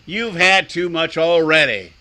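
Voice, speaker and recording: A man's voice: on the high side, unhurried, pushed and bright, slight background noise. A man playing a hard, impatient cowhand in a lynch mob in a radio western, speaking bluntly. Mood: impatient scorn. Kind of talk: old-time radio drama acting.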